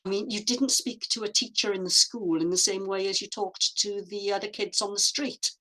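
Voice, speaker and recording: A woman from Wales, a guest artist, answering interview questions in a reflective, measured way with frequent fillers, over a video call. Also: medium pitch, steady tone, pushed and bright, poor audio.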